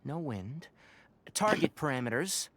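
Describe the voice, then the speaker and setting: A woman voicing a calm, analytical young male forest ranger in an anime video game, speaking in a measured, precise way. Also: sits low. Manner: measured, matter-of-fact, precise.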